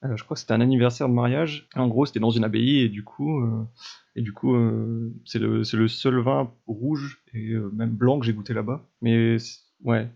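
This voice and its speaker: A man's voice: mid-range voice, steady tone, moderate pace, gravelly, warm tone. A man hosting a podcast, asking questions and chatting casually with some hesitation. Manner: asks questions, hesitant with fillers. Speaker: podcast host. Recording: dull lo-fi mic.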